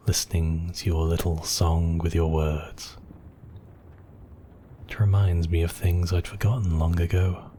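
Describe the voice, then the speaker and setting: A man performs a vampire count for romantic ASMR roleplay. The voice is very deep and slow, murmured closely and slipping into whispers. He is brooding and possessive yet tender, over faint background noise. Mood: wistful, lonely. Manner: slow, hushed, intimate murmur with whispery moments.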